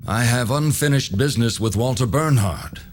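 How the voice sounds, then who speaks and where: An actor playing a mysterious, calm old hermit in a video game, speaking in a measured, wry way. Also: male voice, mid-range voice, a little hiss or hum, natural voice. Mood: calm, grave. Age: older. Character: mysterious old man, calm hermit helping vampire hunters. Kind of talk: video game cutscene voice acting.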